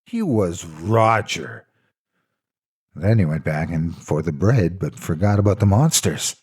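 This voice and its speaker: A man's voice: sits low, lively intonation, unhurried, darkish tone, husky. A man narrating a scripted story in a theatrical, mock-serious storytelling style. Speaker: narrator of horror stories.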